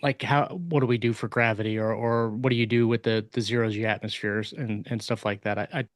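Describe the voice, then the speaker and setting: A man co-hosting a podcast, explaining things casually in a halting, rambling way with self-deprecating asides. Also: fairly low pitch, fairly even intonation, no echo, clean studio sound. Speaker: podcast co-host and science fiction author. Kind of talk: casual panel chat.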